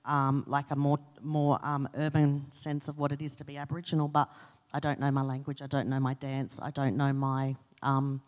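A woman speaks candidly on a panel, pausing and searching for her words. Her delivery is very flat and even, and the room adds a long reverb. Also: extremely low pitch, muffled recording. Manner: hesitant, candid, plain-spoken. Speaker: panel guest. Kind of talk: personal reflection on a panel. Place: studio lounge set.

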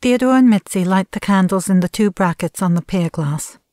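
A woman's voice: mid-range voice, normal speed, warm tone, no room sound, studio quality, natural voice. A woman reading stage directions as the neutral narrator of an audio play, in scripted narration.

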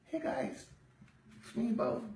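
A performer voicing a male house cat in a low, muttered voice, recorded on a phone in a home kitchen. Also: slow pace, a little mellow, roomy sound, recorded from a distance, slight background noise, close to an everyday voice, rough recording. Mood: mellow. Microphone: phone camera held by the speaker.

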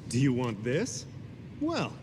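A breezy young heroic adventurer from a video game. He is informal and expressive, with a mid-pitched, very animated voice over clear background noise. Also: slow. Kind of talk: video game cutscene acting.

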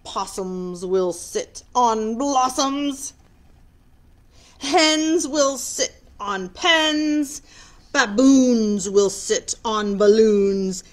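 A woman's voice: a little high, extremely slow, voice pushed up. A woman voicing a smug, matter-of-fact frog in a rhyming picture book read aloud.

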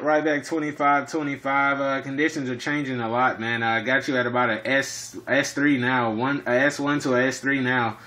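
Male hobby radio operator, mid-pitched, casual and jargon-heavy, speaking from an apartment. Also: flat delivery, moderate pace, hardly any pauses, pushed and bright. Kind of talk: casual two-way radio chat. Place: indoors in an apartment.

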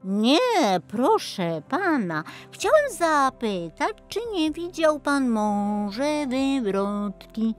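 A woman's voice: high voice, big pitch swings, very slow, hardly any pauses, faint music behind, pitched above the performer's own voice, exaggerated voice. A woman voicing a shy, polite young male road roller in a children's storybook, hesitant and deferential.

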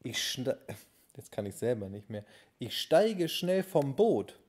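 A woman with a husky voice, patiently coaching a child to repeat lines in a casual, amused way. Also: extremely low pitch, sing-song, measured pace, darkish tone.

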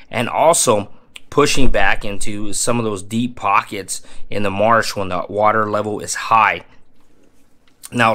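Bright-voiced man giving energetic fishing tips. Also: fairly low pitch. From Texas Gulf Coast. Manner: energetic, emphatic, repeats phrases for stress. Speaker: fishing channel host. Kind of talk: casual fishing tips to camera. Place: small home room with colored lights.

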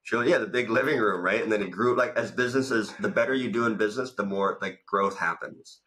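A man's voice: on the low side, a little fast, a bit bright, low-quality recording. A man in his thirties from Thailand, a guest talking casually about his business path with many "like" fillers, recorded on a video call in a home room. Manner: talkative, storytelling with many "like" fillers. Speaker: guest, business coach and founder. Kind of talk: casual interview. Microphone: studio mic in front, video call.

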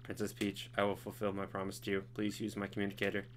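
A man voicing a naive learning computer in a game, in a flat monotone. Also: lowish voice, quick pace, slight background noise, natural voice. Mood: earnest.

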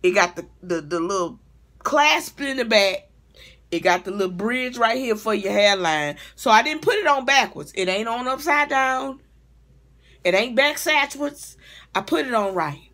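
A woman's voice: on the high side, lively intonation, unhurried, a bit bright. A middle-aged woman giving a casual comedic rant in a blunt, profane, exasperated conversational way, recorded on a device mic in a room at home. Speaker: vlogger and hair stylist. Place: small home room, indoors.

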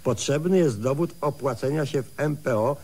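A meek, put-upon tenant from a comic radio serial. His voice is mid-pitched and noticeably husky. He speaks with elaborate, pleading politeness and a streak of wry irony. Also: normal speed, darkish tone. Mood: plaintive, pleading. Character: meek, harassed tenant, obsequious, flustered, wryly ironic man.

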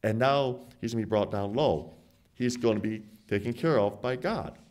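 A man's voice: mid-range voice, a little mellow. Earnest man preaching at a lectern. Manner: earnest, emphatic, slows down to read scripture aloud. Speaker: church speaker. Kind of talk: sermonette with scripture reading. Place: church hall, standing at a lectern. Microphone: lectern microphone.

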